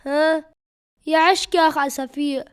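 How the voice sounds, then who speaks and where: A woman voicing a young child in an animated cartoon, with a drawn-out delivery. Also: sits high, lightly acted. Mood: downcast. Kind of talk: cartoon dubbing.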